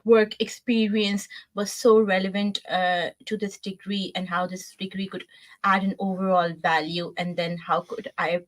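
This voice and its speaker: A woman's voice: mid-range voice, level tone, measured pace, rough recording. A woman in her twenties from Pakistan, English not her first language, answering interview questions with frequent hesitations. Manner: soft-spoken with frequent "uh" hesitations. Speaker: guest. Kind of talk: casual interview.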